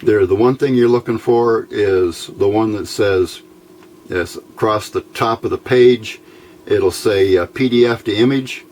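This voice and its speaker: A man giving an informal, unscripted hands-on tutorial as host, explaining step by step in a halting, plain-spoken way. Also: fairly low pitch, darkish tone. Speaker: host of a DIY electronics tutorial channel. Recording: clearly noisy home recording.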